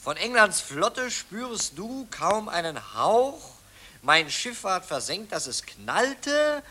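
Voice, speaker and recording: A high, lively, eager young student. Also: male voice, slow, full character voice. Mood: eager, knowing. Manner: chatty, earnestly explaining. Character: eager, earnest young nationalist philosophy student. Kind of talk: 1940s German radio play acting.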